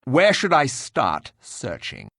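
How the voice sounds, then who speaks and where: A terse, impatient warrior from a fantasy game. He is husky and middling in pitch, with emphatic swings in intonation and a dry, close sound. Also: huge pitch swings, slow, sharp tone, no room sound, exaggerated voice. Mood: stern, businesslike. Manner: blunt, terse, rude. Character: blunt, impatient resurrected warrior, gruff male hero. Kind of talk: fantasy video game dialogue acting.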